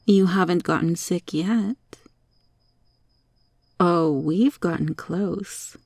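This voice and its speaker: A woman voicing a sly, teasing monster cockroach girl in an ASMR roleplay, taunting and coaxing with deliberate, sometimes whispery delivery. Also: lowish voice, a little mellow, dry recording, heavily acted. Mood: teasing, cozy.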